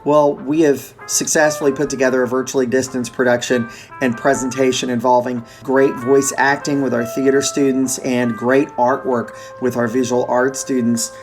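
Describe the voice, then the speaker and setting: A man speaking as host and theater director, giving an earnest, halting welcome speech. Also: medium pitch.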